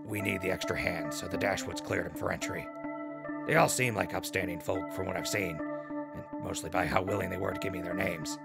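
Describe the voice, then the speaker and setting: An actor playing a folksy, upbeat radio host and newsreader in a post-apocalyptic settlement drama, chatty and theatrical. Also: male voice, low voice, vocal fry. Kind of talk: radio drama news broadcast.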